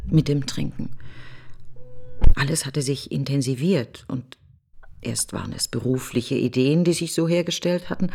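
A middle-aged woman in grief, bitter and reflective. Her voice is creaky and breathy, often sinking toward a whisper. She speaks in broken, deliberate phrases, as in a literary radio play. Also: very deep voice, darkish tone, slight background noise, heavily acted. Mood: brooding, recollecting. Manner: fragmented, brooding, deliberate phrasing. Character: grieving, bitter, reflective woman alone after a friend's funeral. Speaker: actress performing a radio monologue.

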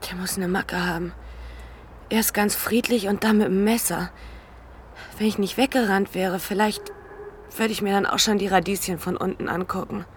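An actor playing a world-weary, bitter but proud homeless woman, halting and pleading, in radio drama style. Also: mid-range voice, steady tone, slight background noise, close to an everyday voice. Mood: bitter, resigned. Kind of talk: German radio crime drama acting.

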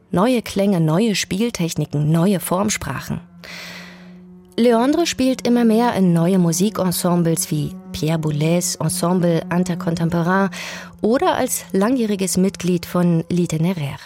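A woman with a fairly low voice narrates a scripted radio documentary. Her delivery is calm and measured. Faint music plays underneath.

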